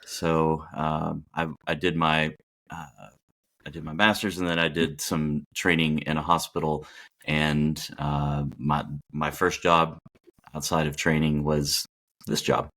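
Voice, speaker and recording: A man with a very deep voice, a hospital chaplain who grew up in Texas. He talks in an unhurried, reflective way, circling back and restarting his sentences as he thinks aloud in a podcast interview. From Texas, Dallas area. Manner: relaxed and reflective, many fillers and restarts. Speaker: hospital palliative care chaplain, podcast guest. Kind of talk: casual interview talk.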